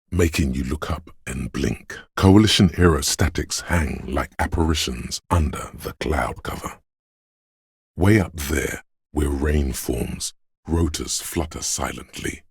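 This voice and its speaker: A man voicing a brooding inner voice that senses a rainy city, narrating a video game in a slow, solemn, poetic and deliberate way with a gravelly, husky tone. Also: very deep voice, no room sound, studio quality, full character voice. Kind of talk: scripted atmospheric second-person narration.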